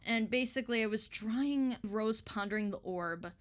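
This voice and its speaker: A young woman in a casual interview chat, speaking in an animated, slangy way and swearing freely. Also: on the high side, moderate pace, slight background noise. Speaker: interviewee artist.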